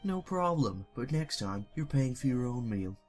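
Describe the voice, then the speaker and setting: A man's voice: mid-range voice, sing-song, normal speed, soft background music, pitched above the performer's own voice, lightly acted. A man voicing an easygoing young male leader of a cartoon gang, plain-spoken. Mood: mildly chiding.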